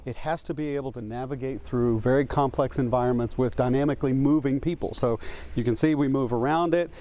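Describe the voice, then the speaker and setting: A man's voice: mid-range voice, a little fast, warm tone. A man explaining technology as an interviewee in a news report.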